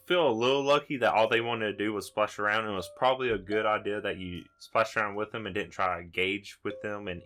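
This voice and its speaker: Bright-voiced male game master. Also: lowish voice. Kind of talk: improvised tabletop game narration.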